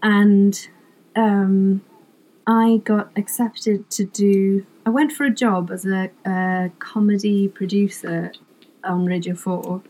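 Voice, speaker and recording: A woman's voice: mid-range voice, level tone, takes it slowly, mellow, poor audio. Chatty self-deprecating Welsh woman. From Wales. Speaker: comedy writer, guest. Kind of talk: casual interview chat.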